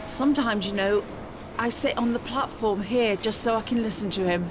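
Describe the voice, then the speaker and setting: A stubborn, grieving older widow, played by a woman in a naturalistic audio drama. Her voice sits fairly high and sounds plaintive, with some noise behind it. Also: normal speed, lightly acted. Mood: wistful.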